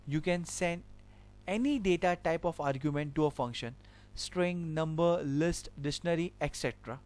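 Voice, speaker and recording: A man with a high voice teaches a coding lesson. He walks through each example step by step and keeps repeating himself with "okay". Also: normal speed, a little mellow. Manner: explains step by step, repeats points with filler "okay". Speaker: programming teacher. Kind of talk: screen-recorded coding lesson.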